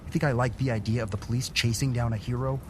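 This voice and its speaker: A man's voice: mid-range voice, fairly even intonation, quick pace, background noise, close to an everyday voice. A man playing a blunt, skeptical councilman. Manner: skeptical, argumentative. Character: wary, blunt city councilman. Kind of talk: audio drama acting.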